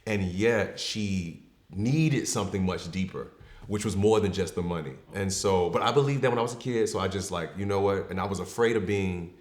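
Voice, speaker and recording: Deep-voiced man in his thirties, reflective. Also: moderate pace. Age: 30s. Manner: reflective and open, with frequent fillers. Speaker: podcast guest. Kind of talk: candid personal storytelling. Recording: echoey room.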